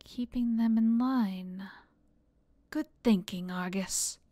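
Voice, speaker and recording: Slow-spoken, measured goddess. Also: female voice, fairly high pitch, dark tone, no echo, studio quality. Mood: thoughtful. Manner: measured, earnest, conciliatory. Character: gentle, measured goddess, mediating between brother gods. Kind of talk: audio drama acting.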